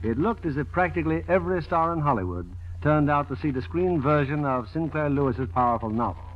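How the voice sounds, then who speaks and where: A man's voice: on the high side, expressive, fairly quick. A man hosting a 1940s radio show with scripted promotional talk. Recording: dull vintage radio recording.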